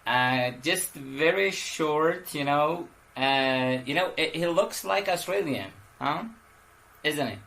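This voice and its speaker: A man's voice: on the high side, animated, slow, creaky, fairly bright tone. A man teaching an English class over a video call, calling on students and hesitating often with fillers. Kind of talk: running an online class.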